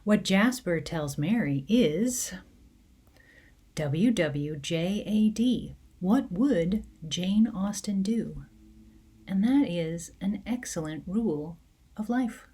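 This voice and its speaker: A woman's voice: medium pitch, expressive, takes it slowly, dark tone, slightly rough. A woman novelist talking casually and playfully to readers as she poses a trivia question. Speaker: young adult novelist. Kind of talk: casual talk to camera, posing a trivia question.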